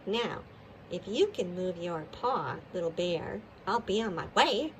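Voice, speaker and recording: A woman acts out a hedgehog in a children's storybook. It is busy yet polite and speaks slowly in a matter-of-fact way. Clear noise sits behind the voice. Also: fairly low pitch, lively intonation. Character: busy, polite hedgehog foraging among leaves.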